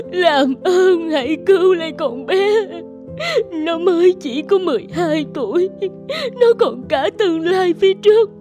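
A woman's voice: extremely high pitch, animated, slow pace, music in the background, exaggerated voice. A woman voicing a loving, anxious mother in a cartoon, speaking in a tender, pleading way. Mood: distraught. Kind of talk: animated cartoon dubbing.

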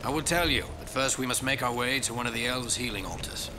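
An actor playing a gruff, wry, guarded wandering ranger in a dramatic fantasy game cutscene. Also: male voice, mid-range voice, quick pace, background noise, lightly acted. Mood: guarded, grim. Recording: music behind.

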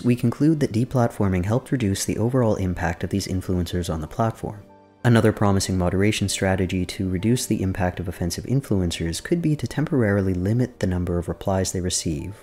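A man reading a research summary aloud in a flat, formal way, over faint background music. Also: low voice, fast.

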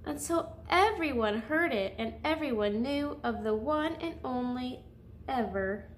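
A woman's voice: sits high, animated, measured pace, slight background noise. A woman reading a picture book aloud as a children's story-time host, speaking directly to her young listeners.

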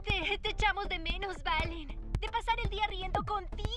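A perky cartoon teenage best friend. The voice is extremely high, chirpy and affectionate, under loud music. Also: female voice, fairly even intonation, a little fast. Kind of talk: animated cartoon dub acting.

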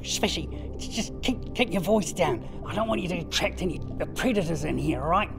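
A woman's voice: lowish voice, expressive, fairly quick, creaky, music in the background, pitched below the performer's own voice. A woman voicing a fussy, rambling male hermit crab. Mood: fretful. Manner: rambling, hushed.

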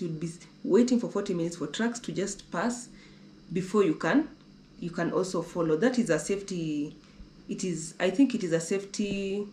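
A woman's voice: fairly low pitch, darkish tone, roomy sound, slight background noise. A young woman from Kenya chatting casually about a road trip on a living-room sofa, repeating herself and restarting sentences often. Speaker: travel vlogger. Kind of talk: casual conversational travel talk.

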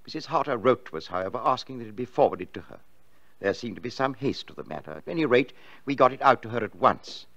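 A man's voice: medium pitch, animated, fast, fairly bright tone. A man playing a stuffy, formal family solicitor in a radio drama, with pompous, precise speech. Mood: stiff, disapproving.

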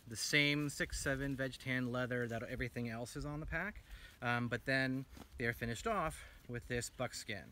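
A man's voice: mid-range voice, pushed and bright, slight background noise. A man in his thirties enthusiastically showing off and reviewing a pack he made, talking casually with lots of ums while sitting outdoors in a forest. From United States. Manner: enthusiastic, rambling with many ums. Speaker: gear maker reviewing his own pack. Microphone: camera a few feet away.

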